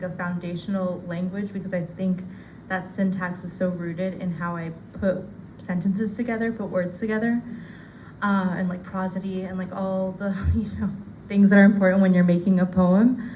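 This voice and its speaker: A woman's voice: mid-range voice, darkish tone, a little hiss or hum, poor audio. A woman whose first language is Spanish, a poet casually answering audience questions at a bookstore reading, with lots of fillers. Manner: casual, chatty, many fillers and "like". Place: bookstore loft.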